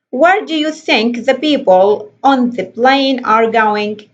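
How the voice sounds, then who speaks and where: A woman teaching an English lesson, explaining slowly and deliberately and reading questions aloud. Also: high voice. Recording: dull lo-fi voice recording.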